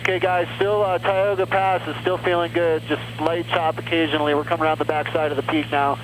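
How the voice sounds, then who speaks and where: A man's voice: fairly high pitch, little pitch movement, brisk. A man working as a mountain flying instructor, coaching and making radio calls on an aviation headset. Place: small aircraft cockpit in flight. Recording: loud aircraft cockpit noise, radio.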